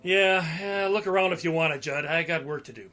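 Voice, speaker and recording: A man's voice: a little high, brisk, bright, forceful tone. A man playing a folksy, blustery, cagey police detective in an old-time style radio play. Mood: folksy, guarded.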